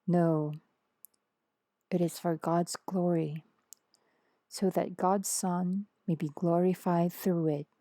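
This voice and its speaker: A woman reader voicing a calm, authoritative male teacher in a scripture story, solemn, gentle and assured. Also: on the low side, slow pace, long pauses, no echo.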